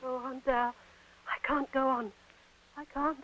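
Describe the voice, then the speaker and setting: High-voiced, nervous young debutante. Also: female voice, flat delivery, unhurried, fairly bright tone, slight background noise. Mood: anxious, faint. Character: frightened young stage debutante, nervous and earnest daughter. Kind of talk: 1940s radio acting. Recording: muffled 1940 radio drama recording.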